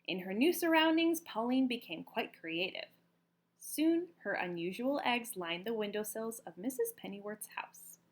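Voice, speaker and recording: A woman narrates a children's storybook in a high voice that swings widely in pitch. She leans into key words with an expressive, emphatic storyteller's delivery. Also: measured pace. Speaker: storybook reader. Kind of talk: animated children's read-aloud narration.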